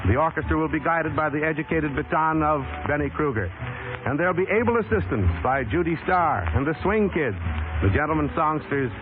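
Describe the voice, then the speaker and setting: A man's voice: a little high, lively intonation, brisk. Tinny 1930s male radio announcer. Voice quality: formal, polished delivery. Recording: tinny old radio broadcast with music.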